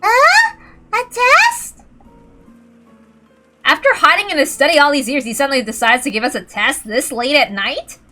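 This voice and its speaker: Woman playing a brash, high-voiced hot-headed teen. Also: very animated, normal speed, pauses often, pushed and bright, soft background music, exaggerated voice. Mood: indignant. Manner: exclaiming, slangy, blunt.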